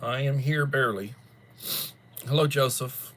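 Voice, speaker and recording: A man hosting a casual livestream, chatting to viewers while still stuffed up and coughing from the flu, in a rambling, drawn-out way. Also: medium pitch, steady tone, fairly bright tone. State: congested, coughing after flu. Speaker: livestream host. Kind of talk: casual chat greeting viewers.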